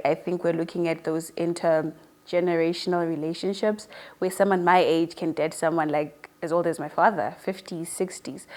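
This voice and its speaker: A woman's voice: lowish voice, darkish tone. Chatty young woman in her early twenties. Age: early 20s. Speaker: newly appointed online show presenter being interviewed. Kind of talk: casual chatty interview storytelling.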